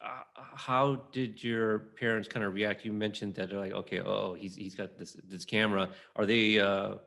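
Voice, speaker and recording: A man in his thirties hosting a podcast interview, asking friendly, halting questions. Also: lowish voice, fairly even intonation, vocal fry, fairly bright tone. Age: 30s. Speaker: podcast host. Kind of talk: casual interview.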